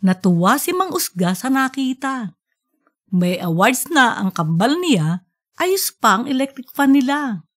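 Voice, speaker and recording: Woman, higher-pitched voice, colloquial, scripted comic narration, audiobook podcast. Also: very animated, measured pace.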